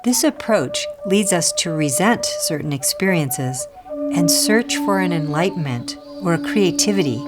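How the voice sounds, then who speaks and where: Female reader, lower-pitched, for a contemplative scripted teaching; deliberate phrasing with pauses, set over loud music. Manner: measured, deliberate, pausing between short phrases. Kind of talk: scripted spiritual reading. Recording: music playing under the voice. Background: prominent music.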